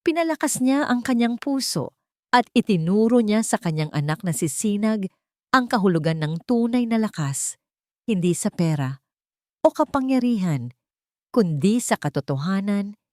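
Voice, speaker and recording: A woman narrating a scripted story in an earnest, measured and emotive way. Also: mid-range voice, expressive, dark tone, no room sound, studio quality. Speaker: audiobook narrator.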